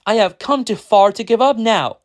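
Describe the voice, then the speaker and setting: A storyteller voicing a heroic, emotional father with loud, dramatic declarations. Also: medium pitch, animated, slow, clean tone, no room sound, studio quality. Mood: defiant anger.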